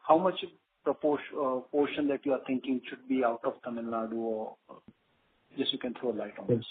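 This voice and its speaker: An investor asks long, rambling questions, packing them with praise and fillers. His voice sits at a middle pitch. It comes over a narrow phone line with faint background hiss. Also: fairly even intonation, a little fast. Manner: wordy, full of praise, many restarts and fillers. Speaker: investor asking questions on an earnings call. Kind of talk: earnings call question. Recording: phone-line call audio with faint noise.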